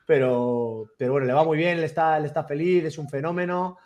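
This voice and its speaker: A man's voice: on the high side, fairly even intonation, brisk, darkish tone. A man hosting a casual interview, chatty and eager, often repeating his questions. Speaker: interview host.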